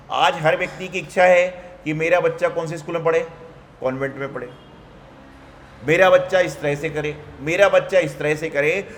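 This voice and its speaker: A man preaching a sermon in a higher than usual voice. He tells homely stories, poses rhetorical questions and mimics people's remarks. His voice rings in a hall with a long echo and faint noise underneath. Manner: storytelling with rhetorical questions and mock quotes. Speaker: Jain monk preacher.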